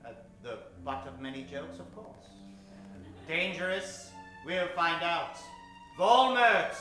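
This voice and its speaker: A man with a high voice plays the old-time radio showman, mock-grand and theatrical. He takes his time over his lines, and the room rings around him with music behind. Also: expressive, slow. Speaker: host, announcer and narrator of a live radio show. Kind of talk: old-time radio announcing with comic patter. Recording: roomy live stage sound with music.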